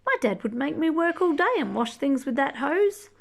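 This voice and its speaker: A woman reading a rhyming children's book aloud expressively, drawing out key words and chatting gently to young listeners. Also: sits high, gravelly, a little hiss or hum. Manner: animated, drawn-out key words, gentle questioning asides. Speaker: storybook reader reading to children.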